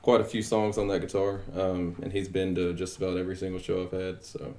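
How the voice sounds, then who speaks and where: A man in his twenties from Texas with a deep voice, a guest musician chatting modestly in an interview, full of fillers and easy laughs. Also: fairly quick. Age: 20s. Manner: relaxed and modest, many fillers, laughs easily. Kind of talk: casual interview chat.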